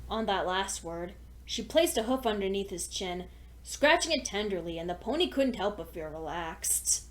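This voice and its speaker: A woman's voice: mid-range voice, animated, normal speed. A woman reading fan fiction aloud as a scripted story narration.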